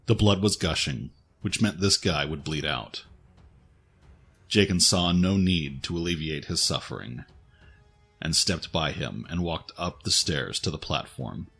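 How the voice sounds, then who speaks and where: A man narrates a scripted work of fiction. His voice is very low and rough-edged with a husky grain. Faint music plays behind him. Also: unhurried, fairly bright tone.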